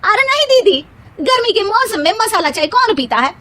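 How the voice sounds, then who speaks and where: Cartoon family story: young daughter-in-law, very high voice, whiny and eager to please played by a woman narrator. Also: big pitch swings, normal speed, sharp tone, slight background noise, exaggerated voice. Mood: argumentative. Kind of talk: animated moral story character voice.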